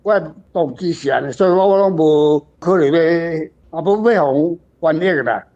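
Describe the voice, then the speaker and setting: A man's voice: on the high side, normal speed, a little mellow, highs cut off. An older man with a Taiwanese accent speaks off the cuff as a guest author, rambling in a plain, emphatic way and repeating himself. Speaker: author guest answering questions. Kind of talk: unscripted literary discussion.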